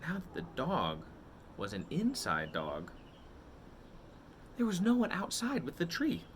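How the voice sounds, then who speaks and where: A man's voice: medium pitch, fairly bright tone, slight background noise. A man hosting a read-aloud for children, reading a picture book expressively and addressing his young listeners directly.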